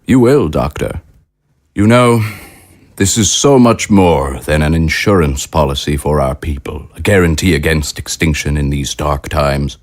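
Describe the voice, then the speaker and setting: An actor playing a grandiose, smoothly menacing government official in an audio drama, with formal, oratorical delivery. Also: male voice, a bass voice, unhurried. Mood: grandly fervent. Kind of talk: scripted audio drama acting.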